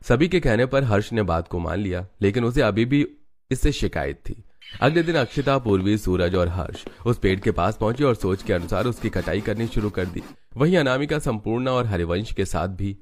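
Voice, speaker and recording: A man's voice: on the low side, lively intonation, normal speed, a little hiss or hum. A man narrating a family story in a scripted storybook style.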